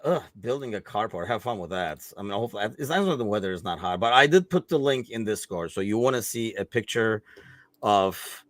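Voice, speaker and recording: A man's voice: on the low side, expressive, a little fast, fairly bright tone, no echo. A man hosting a live football podcast, talking casually with lots of fillers and frequent laughs. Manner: rambling, many fillers, laughs often. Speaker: podcast host.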